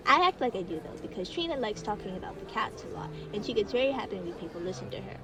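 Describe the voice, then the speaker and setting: A woman's voice: medium pitch, big pitch swings, fast, recorded from a distance, close to an everyday voice, low-quality recording. A woman playing an innocent, chatty young child in an audio roleplay, eager and rambling. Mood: curious, chatty.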